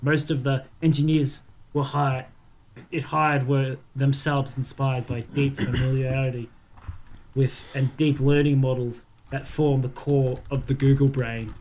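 A man's voice: medium pitch, little pitch movement, unhurried, a little mellow, low-quality recording. Jokey young-sounding man over background noise. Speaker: podcast co-host. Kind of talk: casual joking banter. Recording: clear background noise with clicks.

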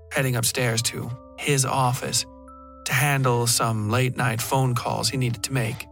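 A man with a middle-pitched voice narrates dark fiction for a podcast. He reads scripted first-person prose as a storyteller.

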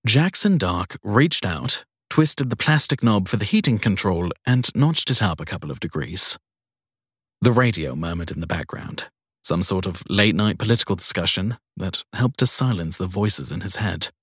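A man's voice: low voice, expressive, moderate pace, fairly bright tone, slightly rough, no echo, clean studio sound. A man reading a crime story as a scripted audiobook narration.

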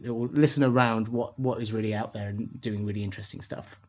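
A man's voice: lowish voice, steady tone, fairly quick, darkish tone. A man co-presenting a podcast, talking through his thoughts in a loose, discursive, conversational way. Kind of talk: conversational news commentary.

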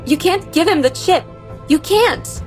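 A woman's voice: very high voice, lively intonation, unhurried, music in the background. An actress playing an intense, defiant young woman in a dramatized audio story. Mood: desperate. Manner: intense, pleading. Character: urgent, defiant young woman, the narrator's girlfriend.

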